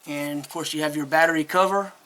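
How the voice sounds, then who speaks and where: Bright, higher-voiced man reviewing casually. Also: fairly even intonation, quick pace, a little hiss or hum. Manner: chatty, rambling, candid. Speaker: product reviewer. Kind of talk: casual unscripted product review.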